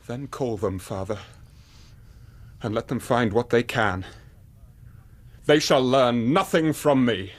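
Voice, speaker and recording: An actor playing a proud, indignant young Victorian gentleman in a radio drama, defiant and formal, with an English accent. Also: mid-range voice, slow pace, pauses often. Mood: cold defiance. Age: young adult.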